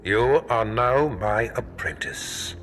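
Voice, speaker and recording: A commanding Sith master in a video game. His voice is forceful and fairly low, slow and theatrical, full of pompous, sinister approval. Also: very animated, a little hiss or hum, heavily acted. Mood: grandly pleased. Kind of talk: dramatic video game acting.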